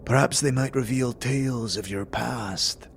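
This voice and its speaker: A man's voice: medium pitch, a little slow, creaky. A man voicing a proud, scarred alien soldier who speaks in grave, formal, archaic phrases in a fantasy roleplay. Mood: solemn, reverent. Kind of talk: tabletop-style fantasy roleplay. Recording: faint background noise.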